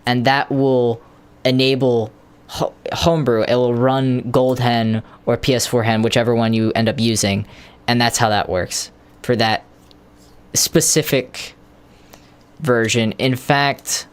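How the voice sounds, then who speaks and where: Smooth-voiced man chatting on stream. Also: medium pitch, fairly even intonation, unhurried. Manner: rambling, hesitant, lots of ums and restarts; explains tech steps to viewers offhandedly. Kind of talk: casual live-stream chat.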